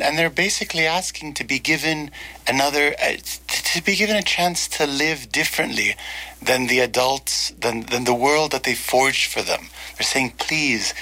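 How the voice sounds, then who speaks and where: A man from Egypt speaking as a studio interview guest, earnest and deliberate in reflective conversation. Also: medium pitch, bright, forceful tone, poor audio. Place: small in-person studio.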